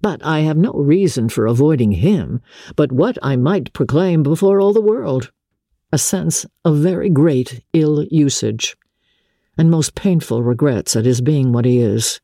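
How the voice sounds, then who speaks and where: Woman as a courteous gentleman officer, mellow voice. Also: deep voice, expressive, a little slow, no room sound, clean studio sound, full character voice. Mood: pained, proud. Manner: hesitant, confiding, courteous. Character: genteel, smooth-talking young gentleman officer, wounded dignity. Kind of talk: dramatic audiobook character reading.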